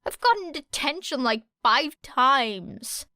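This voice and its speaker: Woman doing a whiny, high-pitched grumbling teenage boy. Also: very animated, slow, sharp tone, no echo, heavily acted. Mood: grousing. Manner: groaning, complaining.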